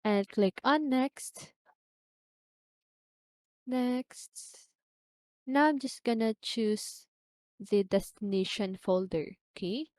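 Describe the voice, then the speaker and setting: A woman presenting a step-by-step software tutorial in an instructional manner, often checking in with a short question. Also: a little high, fairly even intonation, extremely slow, long pauses, dry recording.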